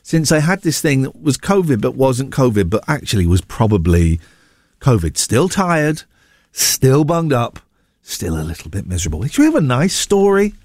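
A man's voice: mid-range voice, sing-song, normal speed, darkish tone, no room sound, studio quality. A man hosting a morning radio show with a blocked nose, chatting casually and reading out stories in a rambling, jokey way, stumbling and correcting himself. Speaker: radio breakfast show host. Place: radio studio.

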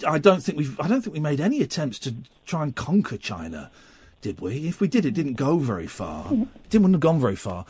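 Radio host man, rambling, keeps restarting, pitch bouncing all over, dry studio sound. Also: wildly swooping pitch, a little fast, a little mellow, husky, no echo. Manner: rambling, stammering restarts, musing questions. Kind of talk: casual radio chat.

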